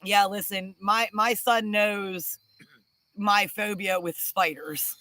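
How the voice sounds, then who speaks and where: Bright, blunt woman telling stories on a live chat. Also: medium pitch, slow pace, dry recording. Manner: animated storyteller, blunt, casual swearing. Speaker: homestead channel co-host. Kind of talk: casual live-stream chat.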